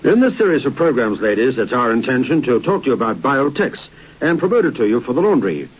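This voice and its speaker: A man's voice: medium pitch, big pitch swings, quick pace. Earnest radio announcer, man. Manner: persuasive and earnest. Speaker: advertising announcer. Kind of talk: scripted radio advert reading. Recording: dull husky old radio broadcast.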